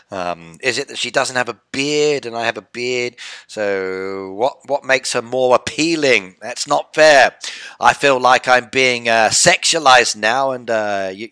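A man's voice: mid-range voice, bright, forceful tone, smooth, no echo. A middle-aged man chatting casually on a game live stream, playfully reading and answering chat. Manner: chatty, playful, reads chat aloud and teases viewers. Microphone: headset with desk mic.

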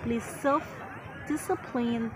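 A young adult woman vlogger reading a scripted travel voice-over in an even, informative tone. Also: a little high, drawn-out words, crowd noise, background noise.

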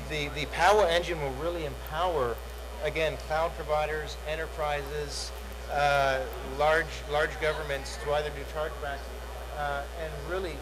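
Male presenter, middle-aged, salesy conference pitch with "uh"s, slightly raised voice, noisy hall recording. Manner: salesy, repeats words, many "uh" fillers. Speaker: product demo presenter. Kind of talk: conference tech demo. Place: large dark conference hall, on stage.

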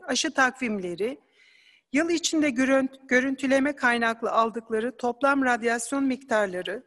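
A woman giving an academic conference presentation, explaining slides in a measured way with occasional hesitant fillers. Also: on the high side, long reverb tail.

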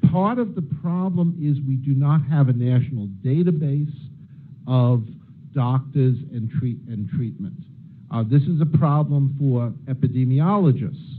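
A middle-aged man speaking as a panelist at a conference table, explaining slowly with many fillers and restarts. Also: mid-range voice. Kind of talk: panel discussion remarks. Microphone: handheld mic. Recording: tinny phone-like sound.

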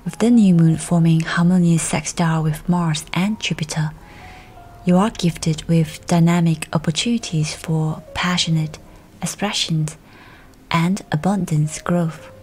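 Slow, low-pitched woman guiding meditation. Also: steady tone, a little mellow, slight background noise. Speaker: guided meditation narrator. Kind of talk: scripted guided meditation. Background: music.

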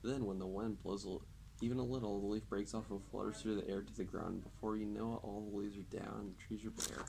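A young man reads a factual children's book aloud in a deadpan way. His voice sits fairly low with plenty of fry in it. He is recorded from a few feet away indoors, with clear background noise. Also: fast talker. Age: young adult. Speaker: reader. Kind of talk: reading a children's non-fiction book aloud. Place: indoors, public library, at a table.

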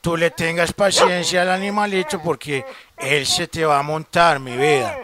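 A Colombian man making a comedic prank call, smooth-talking and full of pet names. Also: a little high, fairly even intonation, moderate pace, a bit bright. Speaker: prank caller, show host. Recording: very noisy phone-call recording.

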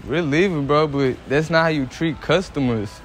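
A man's voice: fairly high pitch, moderate pace. A young adult man chatting casually as a prank vlog host, slangy and peppering his talk with bro and boss. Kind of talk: casual chat in a hidden prank. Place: large warehouse store, later parking lot. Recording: noisy store and outdoor ambience.

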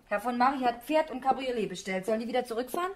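Casting for radio play: actress as a young, headstrong daughter, high lively swooping voice, excitable and pleading. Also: female voice, very fast, slight background noise, natural voice. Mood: defensive. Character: headstrong young daughter arguing with her mother. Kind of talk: German radio drama acting.

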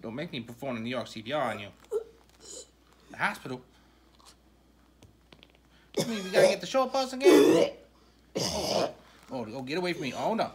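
A woman doing an improvised comedy skit with plush toys in a living room, with lively, loud comic banter. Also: sits low, moderate pace, pauses often, very noisy. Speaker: puppeteer voicing plush toys.

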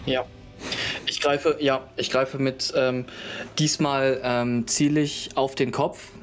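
A man playing a tabletop role-playing game, describing his character's moves in a hesitant, halting way. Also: mid-range voice, flat delivery. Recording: faint music behind the voice. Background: faint music.

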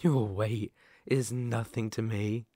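Man playing a slow, menacing, possessive werewolf. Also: fairly low pitch, fairly even intonation, warm tone, dry recording, studio quality. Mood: controlling, cold. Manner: slow, deliberate, condescending, threatening. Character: sadistic possessive male werewolf captor, menacing and coaxing. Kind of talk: ASMR roleplay.

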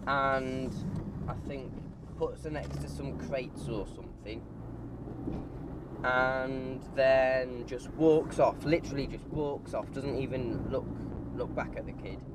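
Slow, halting man over loud car noise. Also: mid-range voice, steady tone. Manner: halting, hesitant, self-correcting. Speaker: vlogger. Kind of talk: casual car vlog monologue while driving. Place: inside a moving car. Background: car and road noise.

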